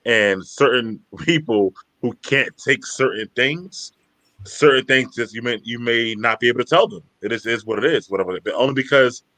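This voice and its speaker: A man's voice: mid-range voice, normal speed, bright, forceful tone, dry recording, low-quality recording. A man joining a live chat show who speaks hesitantly and politely. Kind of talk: casual chat.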